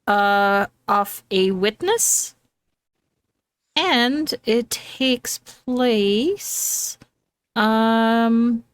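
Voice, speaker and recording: A woman's voice: a little high, drawn-out words, clean tone, no echo. A woman playing a tabletop role-playing game, casually thinking aloud and asking questions to set up the scene.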